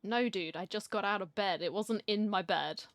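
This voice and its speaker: A woman's voice: mid-range voice, normal speed, no room sound. A woman giving casual, informal commentary as she plays through a game, chatting and reacting aloud. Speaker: game streamer.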